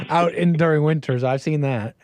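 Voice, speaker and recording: A man's voice: a little high, moderate pace, darkish tone, no echo. A man hosting a call-in show, talking casually and animatedly, often laughing. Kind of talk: casual live-stream talk.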